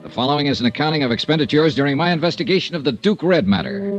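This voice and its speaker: A wry hard-boiled radio detective. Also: male voice, on the high side, big pitch swings, racing, lo-fi sound, soft background music. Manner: dry, clipped, sardonic. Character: hard-boiled insurance investigator, wry and blunt. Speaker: narrator and lead detective. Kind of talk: 1950s radio noir narration and dialogue.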